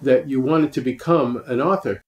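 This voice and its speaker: A man's voice: medium pitch, moderate pace, darkish tone. A man asking podcast interview questions as the interviewer. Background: plucked guitar music.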